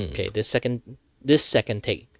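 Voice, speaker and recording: A man's voice: medium pitch, huge pitch swings, a little slow. A man from Malaysia with a sore throat, streaming from a home setup into a close desk mic, chatting casually with many fillers and likes. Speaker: VTuber streamer.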